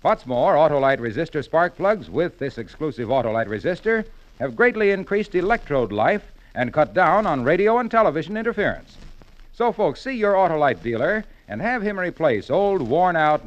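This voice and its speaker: Hearty 1940s radio ad announcer. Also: male voice, sing-song, normal speed. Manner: hearty, emphatic sales pitch. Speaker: commercial announcer. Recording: dull, lo-fi 1940s radio broadcast.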